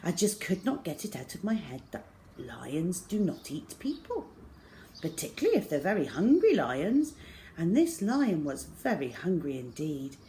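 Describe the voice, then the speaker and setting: A middle-aged woman reading a children's novel aloud to schoolchildren in a measured, deliberate way. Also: on the low side, very animated, dark tone, slight background noise. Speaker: storyteller for schoolchildren. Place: small plain room indoors.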